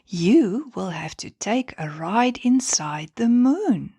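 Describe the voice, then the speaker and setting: A woman voicing a kind, playful female star who gives gentle encouragement in a children's bedtime story. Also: medium pitch, big pitch swings, takes it slowly, breathy edge, no echo, voice pushed up, exaggerated voice. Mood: reassuring. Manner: reassuring.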